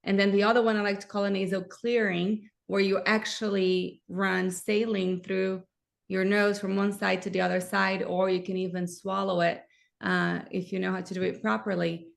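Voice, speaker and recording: Eager woman host with gravelly voice. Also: mid-range voice, little pitch movement, moderate pace. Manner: enthusiastic, asks eager questions. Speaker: host, myofunctional therapist. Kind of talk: live interview.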